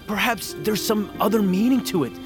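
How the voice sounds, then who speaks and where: An actor playing a bitter, haunted young nobleman in a fantasy audio drama, speaking formally and haltingly with sighs. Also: high voice, lively intonation. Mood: grieving, searching. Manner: halting, sighing, solemn and formal. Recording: music under the voice. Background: cinematic music.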